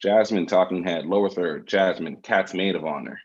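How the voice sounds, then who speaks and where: A man's voice: deep voice, normal speed, dull audio. A man, the writer, reading out stage directions as narration at a script table read.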